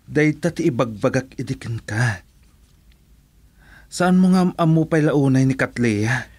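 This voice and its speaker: An actor with a Filipino accent playing an earnest, reasoning friend in a radio drama. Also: male voice, medium pitch, expressive, a little slow, darkish tone, no echo. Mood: reproving, concerned. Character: earnest, reasoning male friend urging forgiveness.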